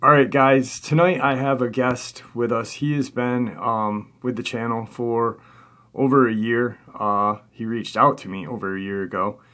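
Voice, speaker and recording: A man's voice: mid-range voice, steady tone, a little slow, sharp tone. A man speaking as the host of an interview show, introducing things and addressing listeners. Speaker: host of a paranormal podcast.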